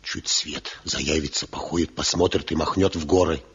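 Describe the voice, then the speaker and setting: Wise stern old craftsman, deep gravel-husky voice, old radio play, kinda muffled. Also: fairly even intonation, moderate pace, fairly bright tone, heavily acted. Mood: grave, reporting. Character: grave, respected old village master craftsman, counselling firmly. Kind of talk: Soviet radio drama acting. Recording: dull 1970 radio play recording.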